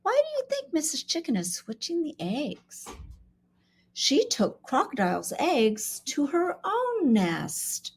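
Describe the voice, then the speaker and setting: A woman reading a children's storybook aloud expressively, as a school reader does in an interactive session. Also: high-pitched. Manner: expressive, pauses to ask questions.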